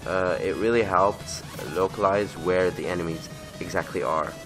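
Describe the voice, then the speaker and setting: Deep-voiced man with a cold. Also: steady tone, moderate pace, music in the background. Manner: rambling, self-correcting, casual swearing. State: voice rough from a lasting cold. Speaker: gaming channel host. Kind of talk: casual gameplay commentary. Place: bedroom at home.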